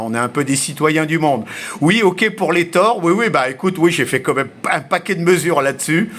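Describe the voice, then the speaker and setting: A man's voice: mid-range voice, fairly bright tone, a little hiss or hum. A man chatting casually as an amateur radio operator, speaking informally and hesitantly. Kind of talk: casual radio contact chat. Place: home radio shack in Asia. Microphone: radio station microphone.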